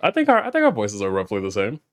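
A man's voice: fairly low pitch, huge pitch swings, fast talker. A man hosting a podcast, chatting casually with a guest and laughing often. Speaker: podcast host.

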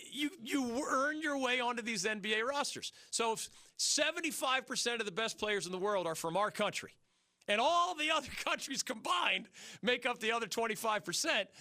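Sports radio host, male, high bright voice, fast and emphatic, dry studio sound. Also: dry recording. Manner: fast, emphatic, opinionated, rising to rhetorical peaks. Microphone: studio mic.

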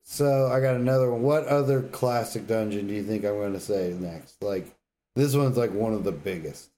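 Mellow-toned man, blunt and opinionated. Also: lowish voice, moderate pace, a little hiss or hum. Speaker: podcast co-host. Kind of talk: casual gaming banter and debate.

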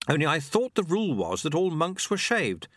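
Man acting a young male novice, raised pitch, earnest, hesitant, deferential, bright voice, storybook character. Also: huge pitch swings, moderate pace, dry recording, exaggerated voice. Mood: earnest, respectful.

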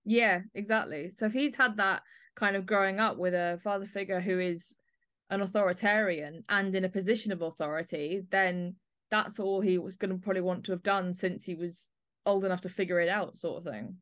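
A woman's voice: mid-range voice, fairly quick, a bit bright, no echo, studio quality. A woman hosting a podcast, chatting and musing conversationally in an interview about drama. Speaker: podcast host. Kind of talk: interview chat about an audio play.

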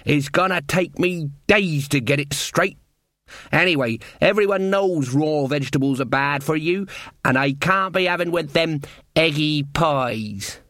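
A woman's voice: low voice, a little slow, fairly bright tone, no room sound, clean studio sound, voice pushed up. A man voicing an indignant, stubborn older female cook in a storybook reading.

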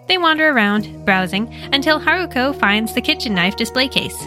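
An American woman hosting a story podcast, retelling a horror manga in a lively, expressive and wry way. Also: sits high, normal speed, over music. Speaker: podcast host and narrator.

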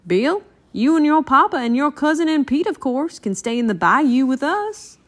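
A woman's voice: sits high, very animated, moderate pace, voice pushed up. Woman voicing a hearty, folksy storybook bayou critter. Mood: hearty, welcoming. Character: hospitable, folksy male bayou animal cousin. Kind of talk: children's storybook character voice.

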